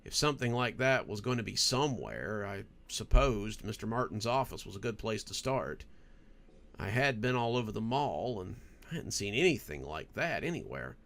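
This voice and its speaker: A man with a gravelly voice, hosting and narrating scripted horror stories. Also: lowish voice, very animated, moderate pace, a bit bright. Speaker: horror story narrator and channel host.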